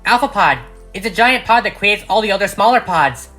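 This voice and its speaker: A man's voice: sits high, expressive, brisk, bright, forceful tone, soft background music, pitched above the performer's own voice. Man as bookish pony mare with raised voice. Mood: explanatory. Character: bookish, earnest young female pony.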